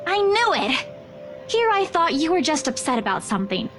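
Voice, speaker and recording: A woman's voice: sits high, sing-song, moderate pace, fairly bright tone, faint music behind. A woman playing an earnest, anxious young friend who fusses caringly, in a visual novel. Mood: concerned, fussing.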